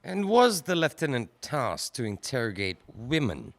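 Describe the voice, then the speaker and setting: An actor playing a male prosecutor who questions a witness in a formal, probing way, with careful pauses. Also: mid-range voice, huge pitch swings. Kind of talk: audio drama acting.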